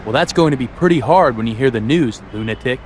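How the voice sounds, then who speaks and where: A man's voice: mid-range voice, lively intonation, quick pace, a little mellow, a little hiss or hum, lightly acted. A man acting a smug, mocking wrestler. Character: smug, taunting male wrestling henchman. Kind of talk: scripted wrestling game cutscene acting.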